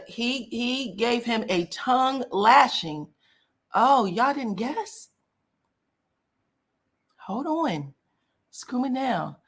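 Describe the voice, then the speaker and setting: A woman's voice: mid-range voice, a bit bright. A woman hosting a casual live-stream gossip chat, scratchy voice, talking folksy and direct to her viewers. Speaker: celebrity gossip YouTuber.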